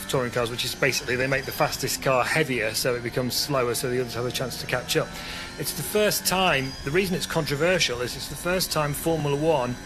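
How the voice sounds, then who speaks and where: A man's voice: medium pitch, noisy background. A man giving explanatory motorsport analysis, thinking aloud with hesitant, hedged phrasing. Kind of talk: explanatory live commentary analysis.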